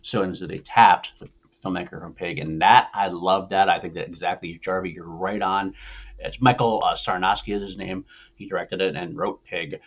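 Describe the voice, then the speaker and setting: A man with a fairly low, husky voice hosts a film podcast. He rambles emphatically and swears freely as he gives his opinions. The audio is a little dull and muffled. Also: fairly even intonation, moderate pace. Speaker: podcast host. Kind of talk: casual opinionated film discussion.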